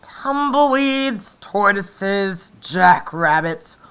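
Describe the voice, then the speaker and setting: Female reader as cranky male cactus, storybook, indignant shouting, forceful tone, stretched-out words. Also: mid-range voice, animated, very slow, clean tone, voice pushed up, full character voice. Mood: angry, yelling. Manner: curt, indignant yelling. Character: grumpy male cactus who hates visitors, shouting angrily.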